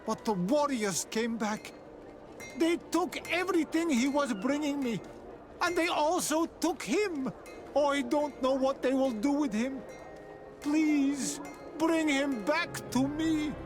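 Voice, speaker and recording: A man's voice: very high voice, over music. A man playing a troubled native islander merchant in a video game, speaking in an earnest, pleading and formal way. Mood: distressed, pleading. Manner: earnest, halting, foreigner unfamiliar with local ways. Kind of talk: video game dialogue acting.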